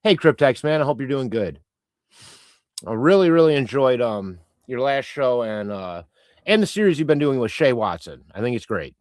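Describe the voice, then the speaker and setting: A man whose first language is Croatian chats loosely through a livestream, reading viewers' comments in a rambling way full of ums and restarts. His pitch swings about in a lively way, and the sound is dry and close. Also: moderate pace, no echo. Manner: rambling, chatty, many fillers and restarts. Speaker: livestream host reading chat. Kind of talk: casual live-stream chat.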